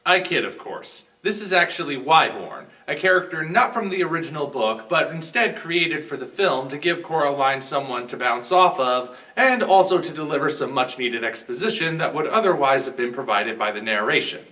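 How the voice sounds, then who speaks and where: Bright, forceful man reviewing films, slightly distant. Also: on the high side, expressive, normal speed, few pauses. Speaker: guest film reviewer. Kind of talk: scripted review commentary.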